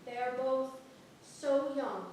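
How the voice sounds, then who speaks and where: A woman's voice: high voice, slow, a little mellow. A woman narrating, reading scripted stage directions aloud in a staged play reading. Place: theatre stage, distant microphone. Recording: very echoey theatre, far-off mic, clear noise.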